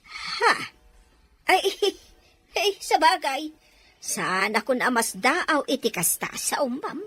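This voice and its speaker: Woman acting whiny, high-pitched put-upon older Filipino maid. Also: big pitch swings, slow, sharp tone, no echo, heavily acted. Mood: nervous, placating. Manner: whiny, exclaiming, deferential. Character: put-upon older maid, fearful, servile yet gossipy. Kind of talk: melodramatic audio drama acting. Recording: music behind the voice.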